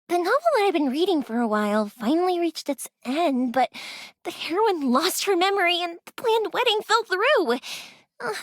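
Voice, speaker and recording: A woman's voice: extremely high pitch, dry recording, full character voice. An actress voicing a cheerful, playful young woman who makes fireworks, in game character voice lines with a close studio mic. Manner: bubbly and lively, giggling, softens for serious stories.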